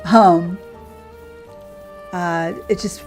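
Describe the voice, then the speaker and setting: A woman's voice: lowish voice, fairly even intonation, very slow, a little mellow. A woman streamer chatting casually as she plays, rambling and thinking aloud in a conversational way. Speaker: game streamer, host. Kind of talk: casual livestream chat while playing. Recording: music playing behind the voice. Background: background game music.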